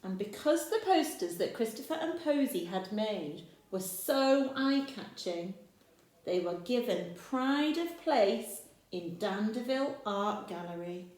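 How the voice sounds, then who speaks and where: A woman's voice: mid-range voice, expressive, a little slow, a little mellow. A woman teacher reading a picture book aloud to children, pointing out the pictures and asking the listener questions. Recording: echoey room.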